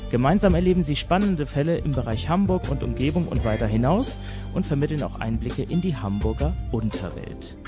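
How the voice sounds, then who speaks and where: A man's voice: medium pitch, expressive, brisk, music in the background. A man presenting a podcast as its host in a scripted, introductory style.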